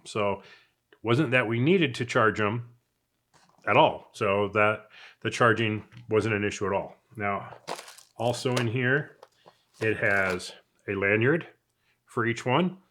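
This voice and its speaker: A man's voice: lowish voice, fairly bright tone. A man giving a casual, unscripted product review in a chatty, conversational way, as if thinking aloud. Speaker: product reviewer, channel host.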